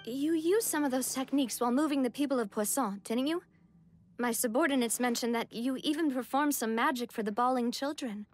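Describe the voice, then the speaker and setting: An actress playing a bright, well-bred young lady in a game, polite and inquisitive. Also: high voice, brisk, soft background music, natural voice. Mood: curious, friendly. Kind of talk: game voice acting.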